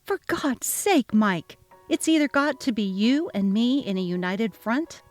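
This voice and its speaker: A woman's voice: a little high, animated, a little slow, faint music behind. A woman voicing a fed-up, defiant young mother, reading a text-message story dramatically and emphatically.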